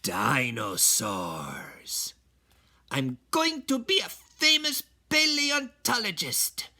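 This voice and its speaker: A man's voice: extremely high pitch, wildly swooping pitch, slow, bright, forceful tone, pitched above the performer's own voice, exaggerated voice. A man doing a bouncy little boy kitten. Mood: excited. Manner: bouncy, exclaiming, sing-song. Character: excitable young boy kitten, dreamy.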